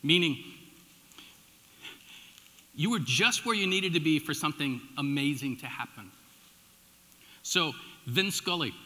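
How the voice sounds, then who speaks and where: Bright-voiced American pastor in a reverberant hall. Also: male voice, fairly high pitch. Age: middle-aged. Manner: informal, animated storytelling with emphatic swings and dropped asides. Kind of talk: conversational sermon with personal storytelling. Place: large church room, addressing a congregation. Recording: echoey hall with long reverb.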